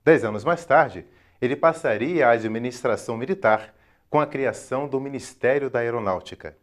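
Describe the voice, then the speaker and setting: A man's voice: medium pitch, moderate pace. Formal Brazilian male documentary narrator. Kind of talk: scripted educational documentary narration.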